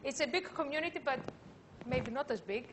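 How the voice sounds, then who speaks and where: A woman lecturer giving an academic conference talk, speaking in a halting way with frequent filler sounds. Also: high voice, expressive, noisy background.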